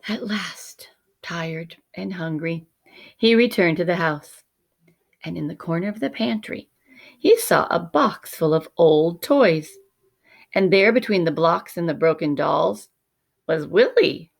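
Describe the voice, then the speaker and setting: A woman teacher narrating a children's picture book expressively, measured and dramatic, often dropping to hushed tones. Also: on the low side, lively intonation, gravelly.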